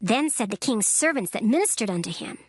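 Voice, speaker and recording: A woman narrating a dramatized scripture recording in a measured, solemn storytelling manner. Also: a little high, expressive, dry recording, clean studio sound. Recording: narration over clear background music. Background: background music.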